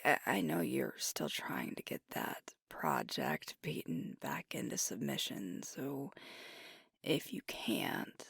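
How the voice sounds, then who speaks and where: A woman playing a troubled, affectionate alpha werewolf girlfriend in an ASMR roleplay, speaking softly and breathily in a halting, confiding way. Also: a bass voice, big pitch swings, no echo, clean studio sound, exaggerated voice. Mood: hesitant, tender. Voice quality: breathy, soft, often whispered. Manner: halting, confiding, weary and vexed. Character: frustrated, affectionate female alpha werewolf girlfriend, a stressed leader.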